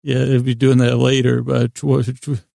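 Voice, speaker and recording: A man hosting a bedtime podcast in a meandering, halting monologue, self-deprecating and silly, repeating and playing with words. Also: mid-range voice, monotone, creaky, warm tone, no room sound, clean studio sound. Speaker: podcast host.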